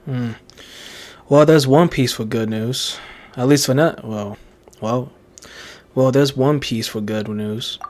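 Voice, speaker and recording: A woman's voice: extremely low pitch, slow pace, pauses often, a little mellow. A woman doing casual gameplay commentary, muttering, repeating herself and swearing in a self-deprecating way. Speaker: video game player. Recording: game music behind the voice.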